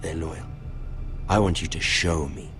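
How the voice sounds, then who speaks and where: An actor playing a cruel, sadistically playful young lord in a fantasy game, taunting with deliberate mock politeness. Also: male voice, extremely low pitch, steady tone, a bit bright. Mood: menacing taunts. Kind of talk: dramatic fantasy game acting. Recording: music under dialogue.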